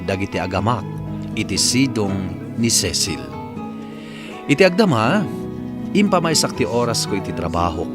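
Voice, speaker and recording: A man with an Ilocano accent reading a letter and the credits as the radio drama host, earnest and moralizing. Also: medium pitch. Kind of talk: scripted radio narration and credits. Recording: AM radio broadcast over loud guitar music. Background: loud guitar music.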